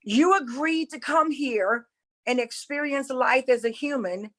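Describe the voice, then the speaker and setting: High-voiced Southern US woman, teaching earnestly. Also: measured pace, a bit bright. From North Carolina, USA. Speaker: guest spiritual teacher. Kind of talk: casual spiritual teaching on a livestream.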